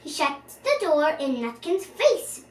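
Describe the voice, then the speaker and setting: A child reading a storybook aloud for young listeners as host, in an expressive, playful manner. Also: sits high, a little slow. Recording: roomy, distant mic, faint noise.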